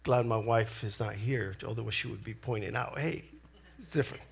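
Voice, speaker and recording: A middle-aged man from El Salvador with a Salvadoran Spanish accent, whose first language is Spanish, delivering a church sermon in an earnest, deliberate way. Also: lowish voice, gravelly. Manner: earnest, slow and deliberate with pauses. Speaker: lay preacher.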